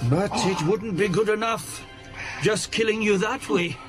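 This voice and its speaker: An actor playing a cold, gloating villain in a radio drama, deliberate and taunting. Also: male voice, high voice, over loud music. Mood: cold menace. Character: menacing, gloating impostor villain, cold and cryptic.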